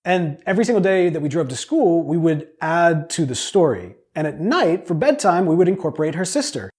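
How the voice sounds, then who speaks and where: Animated man with a higher-pitched voice. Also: brisk. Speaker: podcast producer and storyteller pitching his audio drama. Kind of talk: crowdfunding pitch, personal storytelling narration.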